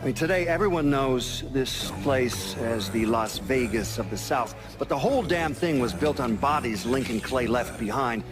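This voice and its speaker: An actor playing a matter-of-fact federal investigator recounting mob history, conversational, as in a documentary interview. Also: male voice, mid-range voice, lively intonation, normal speed, hardly any pauses, music in the background, close to an everyday voice. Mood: matter-of-fact, reflective. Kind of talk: mock-documentary interview in a video game.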